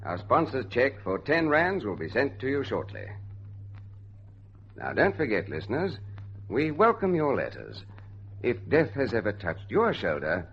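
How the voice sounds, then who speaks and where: A man presenting a radio series in a formal, scripted style. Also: lowish voice. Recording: dull, noisy old radio recording.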